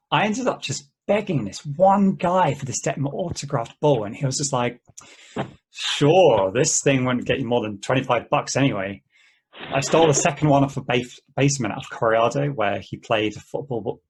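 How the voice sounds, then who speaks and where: A man playing an easygoing game player in an amateur table read, talking in a halting, conversational way. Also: medium pitch, breathy edge, poor audio. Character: easygoing, rambling male player of an endless treasure-hunt game.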